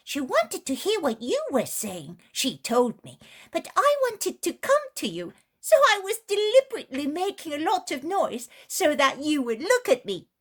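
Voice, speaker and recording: A woman voicing a cheeky, eager little boy who talks in an excited rush. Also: high voice, moderate pace, voice pushed up, heavily acted.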